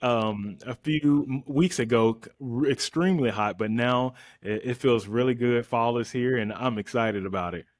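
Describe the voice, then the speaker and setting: A man's voice: medium pitch, moderate pace, hardly any pauses. An American man in his thirties speaking informally and conversationally as he hosts a casual interview. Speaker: interview host, vegan chef and influencer. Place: indoor room, remote video call. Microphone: desktop condenser microphone up close.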